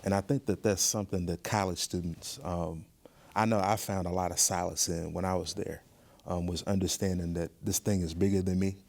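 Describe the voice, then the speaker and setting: Low-voiced, earnest, measured man. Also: fairly even intonation, vocal fry, a little mellow. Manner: measured, earnest, pausing to choose words. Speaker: museum professional, podcast guest. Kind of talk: thoughtful interview conversation.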